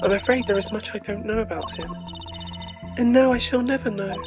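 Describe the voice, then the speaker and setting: A woman voicing a serene, mysterious foreign singer in an amateur video game voice-over, speaking in a measured, formal and wistful way. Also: medium pitch, lightly acted. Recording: game music behind the voice.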